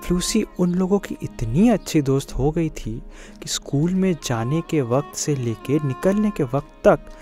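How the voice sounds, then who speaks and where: Mellow male storyteller, slightly high voice. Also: expressive, unhurried, soft background music. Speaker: narrator. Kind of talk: scripted children's story narration.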